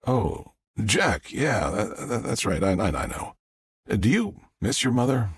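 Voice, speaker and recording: Male narrator as old father, confused, stubborn, halting mutter, very husky, dry sound, audiobook character. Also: on the low side, very animated, dry recording, voice pushed up, full character voice. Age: older.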